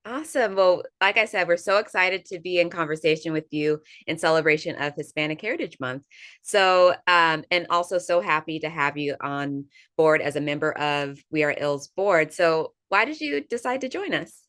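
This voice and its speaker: A woman's voice: low-pitched, moderate pace, fairly bright tone, no room sound, clean studio sound. A woman hosting an interview in a friendly, prepared style, with an upbeat, encouraging manner. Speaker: interviewer, nonprofit communications director.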